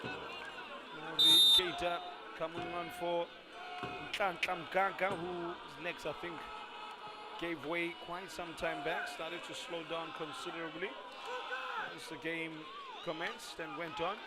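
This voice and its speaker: A man calls a live football match in a high, bright voice, slipping in wry asides and raising his voice to a shout when play gets close to goal. A loud stadium crowd and thick background noise sit under every word. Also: slow, pauses often. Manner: chatty, quips and asides between play, rises to shouts at chances. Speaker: match commentator.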